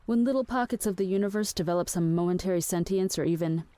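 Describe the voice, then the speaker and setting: A woman's voice: lowish voice, fast, mellow, no room sound, natural voice. An actress playing a dreamy, fatalistic woman in an audio play, with a wistful and understated way of talking. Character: melancholy, dreamy, fatalistic woman on a ship at night. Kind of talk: theatrical audio play acting.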